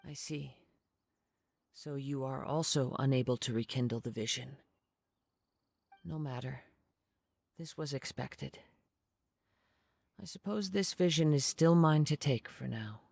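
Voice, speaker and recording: A man playing a calm, reflective young wandering samurai in a video game, speaking in measured, formal, poetic phrases. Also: mid-range voice, gravelly. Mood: calm, thoughtful. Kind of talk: video game story dialogue and narration. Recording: music behind the voice.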